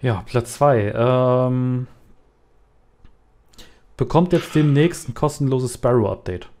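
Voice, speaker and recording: A man hosts a gaming podcast. His voice is mellow and relaxed at a middle pitch. He talks casually and haltingly, posing quiz questions to his co-hosts. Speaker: podcast host.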